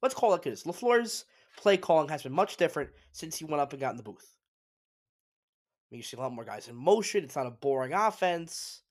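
A man's voice: fairly high pitch, big pitch swings, normal speed. A man hosting a solo sports talk show, congested and sniffly, giving emphatic opinions with frequent restarts. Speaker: sports podcast host.

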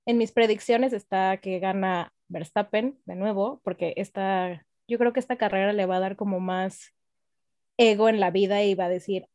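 A young woman, co-hosting a podcast in casual, excitable, gushing fan chat. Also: medium pitch, moderate pace, no echo, clean studio sound. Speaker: podcast co-host. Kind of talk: casual chat about racing.

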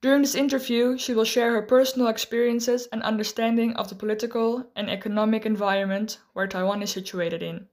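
A woman host giving a scripted, measured explanation and then asking interview questions. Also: on the high side, steady tone, a little mellow.

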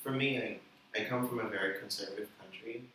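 A young man from the Bahamas, chatting as a guest in an interview, full of fillers and quick laughs. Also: fairly low pitch, sharp tone. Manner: chatty, laughing, many "like" and "you know" fillers. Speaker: musician guest. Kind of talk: casual interview chat. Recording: echoey room, distant mic, light noise.

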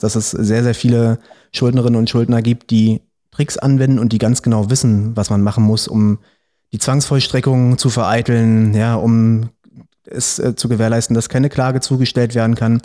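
A man hosts a legal podcast, putting friendly, casual questions to a guest. His voice is dark and mellow, and his questions carry small hesitations. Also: medium pitch. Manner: relaxed, friendly questioning with fillers. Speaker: podcast host, lawyer. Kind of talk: casual interview.

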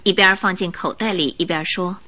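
A woman narrating a Mandarin radio drama as an expressive storyteller of a detective tale. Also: lowish voice, normal speed, background noise, close to an everyday voice.

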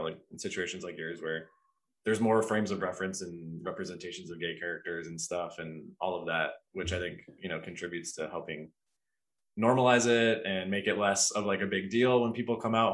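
A man's voice: low voice, a bit bright. A man hosting a casual, chatty interview, speaking in a tentative, rambling and supportive way. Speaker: podcast host, interviewer.

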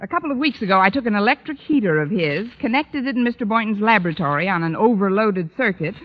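An actress playing a wry, wisecracking schoolteacher with dry, sardonic delivery, in old-time radio comedy style. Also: female voice, medium pitch, expressive, quick pace, close to an everyday voice. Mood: deadpan, wry. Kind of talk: 1940s-50s radio comedy acting. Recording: muffled old radio recording.

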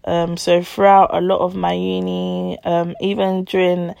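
Twenties, gravelly fry, chatty confiding podcast storyteller, dry close mic. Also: female voice, on the low side, monotone, measured pace, a little mellow, no room sound. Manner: chatty, confiding, addresses listeners as guys. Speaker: podcast host telling a personal story. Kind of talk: casual storytelling.

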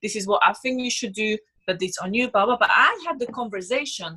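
A woman's voice: mid-range voice, brisk, bright, forceful tone, slightly rough. A young woman from Slovakia speaking English with a Slovak accent, chatting casually in an earnest, emphatic way and often repeating words. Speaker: guest, business owner. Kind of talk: casual personal conversation.

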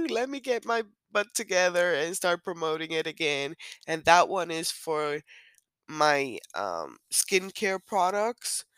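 A woman with a low, rough morning voice talking through a solo podcast in an unhurried, meandering way. Her tone is bright and forward despite the depth, and the sound is close and dry with no room around it. She jumps into excited high squeals between stretches of easy chat. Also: no room sound. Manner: chatty, rambling, with bursts of excited squeals. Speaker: podcast host.

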